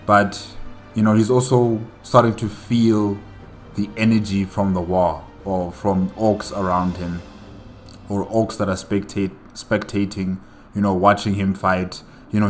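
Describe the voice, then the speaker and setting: Slow-talking low-voiced man reacting casually. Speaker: reaction video host. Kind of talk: casual solo reaction commentary.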